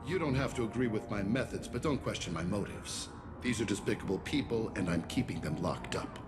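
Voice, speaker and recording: An actor playing a stern, self-righteous alien warden of a space prison ship in a video game, speaking formally and with authority. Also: male voice, fairly low pitch, lively intonation, brisk, lightly acted. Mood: firm, self-righteous. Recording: loud music behind the voice.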